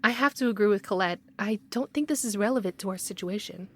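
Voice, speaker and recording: An actress playing a strict, skeptical supervisor in an audio drama, firm and officious. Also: female voice, mid-range voice, quick pace, a bit bright, lightly acted. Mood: dismissive.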